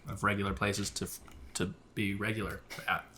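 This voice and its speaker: A man's voice: on the low side, flat delivery, moderate pace, sharp tone, slight background noise. A young man co-hosting a casual lunch podcast in a kitchen, easygoing and wry, swearing casually as he talks. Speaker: podcast co-host. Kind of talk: casual chat over lunch. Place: home kitchen, indoors.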